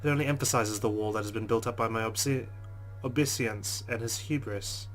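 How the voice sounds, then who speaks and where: A man's voice: lowish voice, a little fast, soft background music. A man hosting a game playthrough, reading the story aloud with earnest delivery and breaking into casual asides. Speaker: let's play host.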